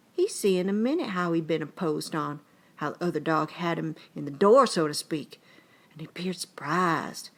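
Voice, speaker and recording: A woman's voice: mid-range voice, a bit bright. A woman performing a comic short story as a rambling, folksy old-time yarn-spinner, with an earnest, conversational manner. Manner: folksy, drawn-out, conversational storytelling in rustic dialect. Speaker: actor reading aloud.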